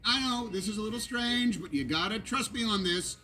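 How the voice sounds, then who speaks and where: An actor playing a loyal, anxious male cowboy toy who leads the other toys, talking earnestly and quickly in animated film dialogue. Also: high voice, faint music behind. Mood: upbeat. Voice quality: earnest, fast-talking, emphatic.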